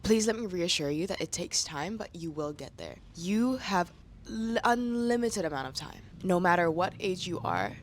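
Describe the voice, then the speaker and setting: A woman vlogger with a slightly raspy voice, chatting candidly to camera in slangy, casual speech. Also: on the low side, animated, normal speed, slight background noise. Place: room indoors.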